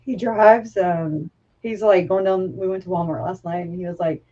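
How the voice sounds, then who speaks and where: A woman's voice: on the low side, fairly even intonation, normal speed, mellow, lo-fi sound. A woman hosting a casual livestream chat, talkative and self-mocking, often laughing at her own remarks. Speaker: livestream host.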